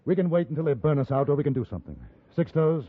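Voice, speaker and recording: An actor playing a resolute, reserved gentleman on the frontier, measured and understated, in a 1950s radio western. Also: on the high side, lively intonation, background noise, natural voice. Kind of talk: 1950s radio western acting with first-person narration. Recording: muffled vintage radio recording with music.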